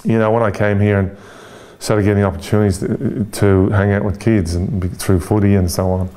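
A man in his forties from Ireland with an Irish accent, an interview guest speaking candidly and reflectively, pausing often with fillers and restarts. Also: on the low side, little pitch movement, dark tone.

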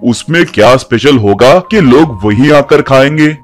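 A man plays an older village hotel owner in an animated story, careful and fatherly in tone. Soft music runs faintly underneath. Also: mid-range voice, lightly acted. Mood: cautious. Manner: measured, cautious, fatherly advice. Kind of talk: cartoon voice acting.

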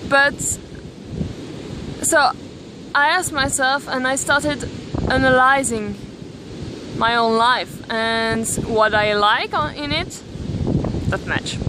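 A young woman from Belgium, filming herself at arm's length on a beach and talking thoughtfully with many pauses and restarts. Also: a little high. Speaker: vlogger. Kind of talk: casual selfie vlog. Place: outdoors on a beach, windy. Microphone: camera at arm's length. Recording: wind noise on the mic.

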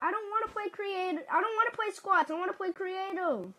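A woman's voice: very high-pitched, brisk, sharp tone. A woman commenting on her own game play, whining and teasing other players with playful trash talk. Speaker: gamer. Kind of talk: live gameplay commentary.

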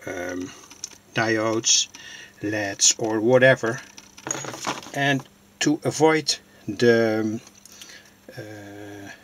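A man giving an informal hobbyist demonstration, narrating in halting, deliberate phrases. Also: medium pitch, gravelly, a bit bright. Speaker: electronics hobbyist presenter.

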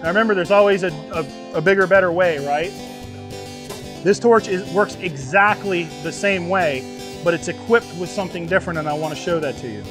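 A middle-aged man with a fairly high voice teaches a practical class to a group. He explains things in a plain, folksy way and checks that people follow. He is recorded outdoors under a tent. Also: brisk, music in the background. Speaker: welding instructor. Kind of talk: live hands-on class instruction to a group. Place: outdoors under an open tent canopy before a seated group.

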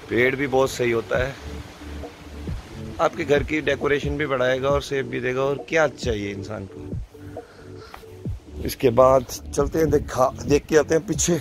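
A man's voice: mid-range voice, creaky. A young man from Himachal Pradesh, chatting informally to viewers in a casual vlog, recorded outdoors on a phone at arm's length. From Himachal Pradesh, India. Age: young adult. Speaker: vlogger. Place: outdoors, rural farmhouse yard and fields. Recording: phone video with music under voice. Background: music.

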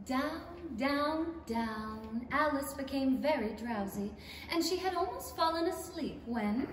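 A woman's voice: high voice, animated, takes it slowly. A woman playing an earnest, theatrical storyteller narrating a fairy story in a radio play. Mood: storytelling. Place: theatre stage. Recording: echoey theatre hall from far off, faint noise.

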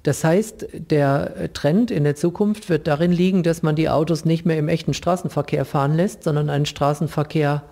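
Gravelly, mellow professor, a man explaining. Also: on the high side, fairly even intonation, moderate pace, few pauses. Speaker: professor being interviewed. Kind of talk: explanatory interview answers in long, careful sentences.

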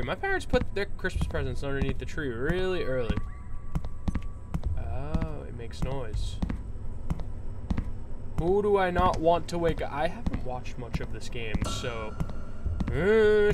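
A young man with a nasal, flu-stuffed voice, commentating a horror game on a headset and yelling at the game when startled. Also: fairly high pitch, lively intonation, unhurried, long pauses, noisy background. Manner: jumpy, yells at the game. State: recovering from flu. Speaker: gaming youtuber.

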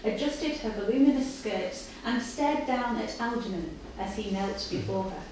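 A woman with a fairly high voice gives a measured reading of fiction. She is live in a café, recorded from a distance, so the room echoes heavily around her with some faint noise. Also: a little mellow. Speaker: reader at a live literary night. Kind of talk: dramatic reading of written fiction. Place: live in a café before an audience. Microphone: far camera.

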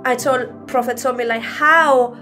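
High-pitched young Spanish-accented woman preaching informally. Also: steady tone. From Spain. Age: young adult. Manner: halting, conversational, earnest. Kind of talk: informal teaching with personal testimony. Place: small room at home. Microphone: laptop on a video call. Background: music.

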